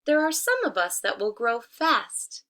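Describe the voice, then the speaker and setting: Woman putting on a storybook talking tree, secretly a wolf, sly, coaxing, emphatic, high-pitched, slow. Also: wildly swooping pitch, fairly bright tone, exaggerated voice. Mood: sly, inviting. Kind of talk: children's storybook read-aloud.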